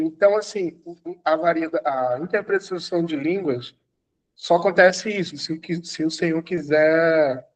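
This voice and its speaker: High-voiced man preaching to a class. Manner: exhorting, repeats commands, laughs while telling stories. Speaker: apostle teaching a class. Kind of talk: teaching an online church class.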